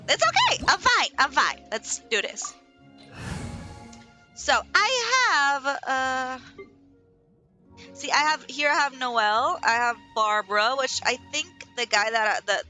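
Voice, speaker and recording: Young woman gaming, rambly and self-deprecating, slightly high voice, game music going under her. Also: pushed and bright. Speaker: gaming channel host. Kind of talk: casual gameplay commentary.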